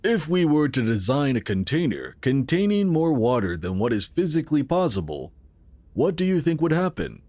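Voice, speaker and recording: An actor playing the earnest, calm founder of a futuristic city in a sci-fi audio drama, explaining things in a measured, formal way. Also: male voice, medium pitch, no room sound. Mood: patient, explanatory.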